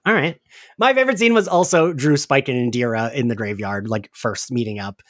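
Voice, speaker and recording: A man hosting a podcast recap in a casual, giggly, animated way, with the odd swear word. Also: medium pitch, fairly quick, no room sound, studio quality. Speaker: podcast host.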